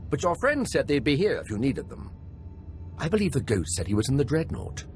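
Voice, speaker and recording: A man's voice: mid-range voice, big pitch swings, a little fast, a little mellow, soft background music. A man playing an eager, nervous young imperial officer and scholar in a video game, earnest and a little awkward. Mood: businesslike, wry.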